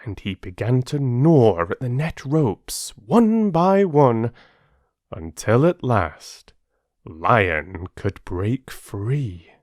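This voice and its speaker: A man reading aloud as an audiobook host, with an expressive, measured storytelling manner. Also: mid-range voice, no echo, clean studio sound. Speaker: audiobook reader and channel host. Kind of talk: children's story narration.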